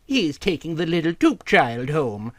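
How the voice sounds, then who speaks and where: A man's voice: fairly high pitch, very animated, normal speed, no room sound, pitched above the performer's own voice. A man voicing a placid, genteel older aunt in a storybook, mild and chatty. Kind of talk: storybook character dialogue.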